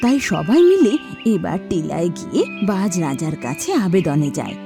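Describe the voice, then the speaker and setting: Lively woman narrating a cartoon. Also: fairly low pitch, moderate pace, vocal fry. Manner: expressive, storytelling. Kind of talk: scripted storybook narration. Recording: children's music behind.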